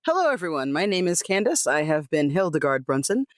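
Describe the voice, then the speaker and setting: A woman's voice: low voice, sing-song, brisk, no room sound, clean studio sound. A woman chatting casually as a player on a tabletop game podcast. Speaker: player on an actual-play podcast. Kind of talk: casual chat.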